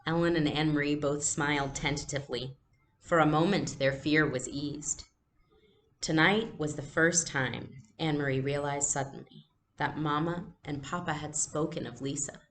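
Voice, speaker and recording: A woman reading a children's novel aloud as a teacher would, with expressive narration. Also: low-pitched, moderate pace, slight background noise.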